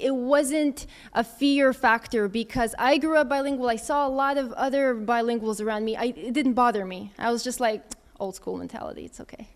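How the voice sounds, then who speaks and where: A woman in her thirties answering audience questions in a candid, conversational way, thinking aloud as she goes. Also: on the high side. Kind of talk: casual Q&A talk.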